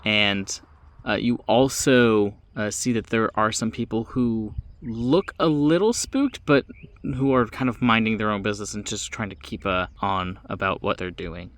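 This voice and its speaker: A man improvising narration as the game master of a tabletop game in a halting, conversational way. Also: fairly low pitch, fairly bright tone, dry recording.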